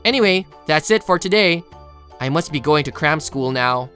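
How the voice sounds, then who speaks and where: A reserved, studious teenage boy played by a voice actor in a manga cartoon. He sounds introspective and self-doubting, with lively intonation at a middling pitch. Music plays softly behind him. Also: moderate pace, natural voice. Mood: earnest. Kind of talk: manga video character narration and dialogue.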